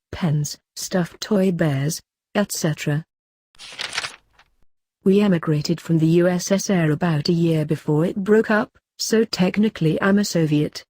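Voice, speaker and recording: A woman narrating forum posts aloud in an even, steady read with no fillers. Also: on the low side, no echo.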